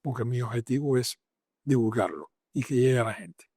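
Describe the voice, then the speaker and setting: A man's voice: mid-range voice, normal speed, mellow, slightly rough, no room sound, clean studio sound. An older man with a Cuban accent answering interview questions emphatically, repeating phrases as he builds lists. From Matanzas province, Cuba. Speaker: interviewed doctor and author.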